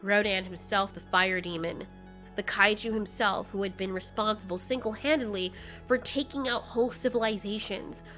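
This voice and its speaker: A woman's voice: mid-range voice, moderate pace, pushed and bright, faint music behind. A woman narrating a dramatic fan fiction story as its storyteller.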